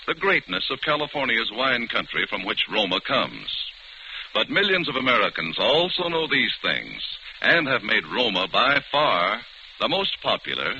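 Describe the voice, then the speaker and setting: A man's voice: fairly low pitch, pushed and bright. A man announcing sponsor messages in 1940s radio style, polished, formal and emphatic. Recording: muffled old radio, faint noise.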